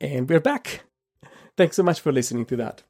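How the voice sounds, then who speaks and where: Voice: mid-range voice, expressive, moderate pace, darkish tone, soft background music. A podcast host interviewing a guest casually, with friendly encouraging murmurs, who learned English as a second language. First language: not English. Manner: friendly, encouraging with frequent mm-hmms.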